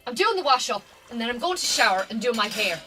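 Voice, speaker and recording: An actress playing a suspicious, prickly Irish farmer's wife, with a sharp, sarcastic way of talking, in a naturalistic radio drama. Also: female voice, on the high side, very animated, fast talker, music in the background. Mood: suspicious, hurt.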